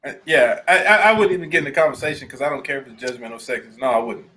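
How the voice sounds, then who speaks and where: A man's voice: medium pitch, expressive, racing, bright, forceful tone. A man co-hosting a casual podcast, talking in a blunt, opinionated way with informal, slangy phrasing. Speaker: podcast co-host. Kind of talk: casual conversation.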